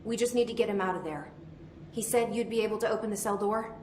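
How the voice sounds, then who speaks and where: A woman's voice: mid-range voice, quick pace, darkish tone, roomy sound, slight background noise, natural voice. An actress playing a determined young female agent in a video game cutscene, speaking in a dry, terse way.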